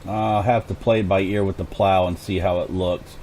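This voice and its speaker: Hoarse man chatting over gameplay. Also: low-pitched, little pitch movement, moderate pace, mellow, slight background noise. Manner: chatty, thinks aloud while playing. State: hoarse, clearing throat. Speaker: gaming channel host. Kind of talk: casual gameplay commentary.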